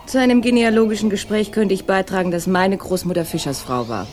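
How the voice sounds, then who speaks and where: An actress playing a cool, forthright woman who teases and probes with brisk irony in a 1960s German radio crime drama. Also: mid-range voice, darkish tone, soft background music, natural voice. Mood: ironic, direct. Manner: brisk, ironic, self-assured. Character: cool, forthright, teasing woman asking probing questions.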